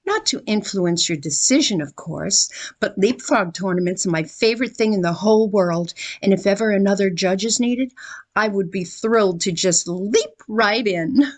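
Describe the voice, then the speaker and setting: An actress playing a polite, eager fairy-tale petitioner with a deferential manner in a comic radio play. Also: female voice, medium pitch, moderate pace, close to an everyday voice. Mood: ingratiating. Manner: deferential, ingratiating. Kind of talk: comic radio theater acting.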